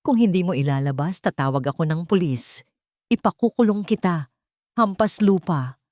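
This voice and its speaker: A woman voicing a cruel, haughty rich woman with a shrill, accusing delivery in a dramatic audio story. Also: animated, moderate pace, mellow, no room sound, clean studio sound, natural voice. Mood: threatening. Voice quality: shrill, piercing. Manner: imperious, scornful, accusing.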